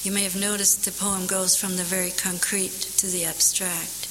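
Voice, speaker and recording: A woman poet whose first language is German, answering audience questions and reciting her own poem from memory, thoughtful and unhurried with dry humor. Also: lowish voice, flat delivery. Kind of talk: Q&A after a poetry reading, reciting from memory. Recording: hissy recording in a big echoing hall.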